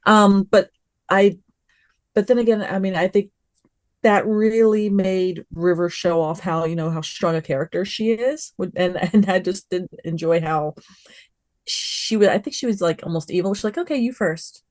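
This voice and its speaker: Expressive woman on a podcast panel. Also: medium pitch, moderate pace, no room sound. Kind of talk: casual review chat.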